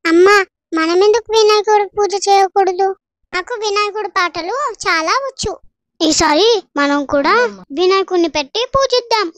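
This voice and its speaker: Lady doing a very high little village kid, poor, eager and innocent, moral story, dry sound. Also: moderate pace, fairly bright tone, no echo. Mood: pleading. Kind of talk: dramatised moral story acting.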